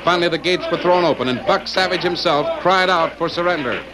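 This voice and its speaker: A man's voice: fairly high pitch, fairly quick, low-quality recording. A man narrating an old-time radio drama from a script, in a dramatic, formal announcer delivery. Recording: old radio recording with crowd din.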